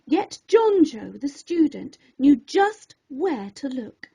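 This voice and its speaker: Unhurried, high-voiced woman reading a children's story. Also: very animated, creaky, mellow. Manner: theatrical, deliberate storybook delivery. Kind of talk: expressive rhyming children's story narration.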